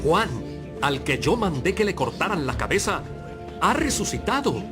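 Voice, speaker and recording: A grand, haughty king from a dramatized scripture recording. The voice rides high and swoops dramatically, declaiming every phrase. Music plays prominently underneath. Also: sing-song, normal speed. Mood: alarmed. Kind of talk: dramatized audio Bible acting.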